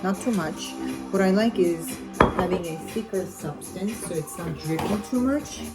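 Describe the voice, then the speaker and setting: A low-voiced woman with an Armenian accent talks her way through a home cooking video, loose and chatty, full of fillers and restarts. Her native language is Armenian. Loud music plays behind her in the kitchen. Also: unhurried. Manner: chatty, rambling, self-correcting with fillers. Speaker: cooking vlogger. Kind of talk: casual cooking vlog.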